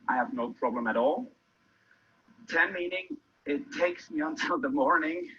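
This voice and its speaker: A man's voice: sits high, pushed and bright, husky. A man presenting a workshop, walking the audience through a quiz step by step. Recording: muffled lo-fi recording.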